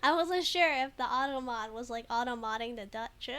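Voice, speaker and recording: A woman's voice: fairly high pitch, normal speed, sharp tone, clear, resonant tone. A woman commenting casually on a video game, giggling often and hesitating a lot. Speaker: game streamer. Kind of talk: casual let's play commentary.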